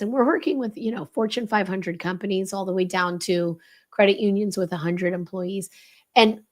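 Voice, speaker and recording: A woman with a slightly crackly, strained voice explains leadership ideas as an expert guest, speaking emphatically and instructively with rhetorical questions. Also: medium pitch. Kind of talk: explanatory interview talk.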